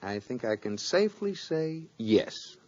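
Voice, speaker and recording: A man's voice: mid-range voice, sing-song, measured pace. A man playing a dry, bantering, unsentimental husband in a 1940s radio sitcom. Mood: amused. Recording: muffled, noisy old radio recording.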